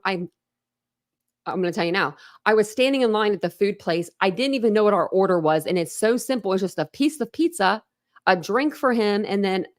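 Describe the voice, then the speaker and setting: A woman hosting a true crime livestream in casual, rambling commentary, often breaking off and restarting. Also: mid-range voice. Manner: rambling, chatty, self-interrupting with laughs. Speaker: true crime channel host.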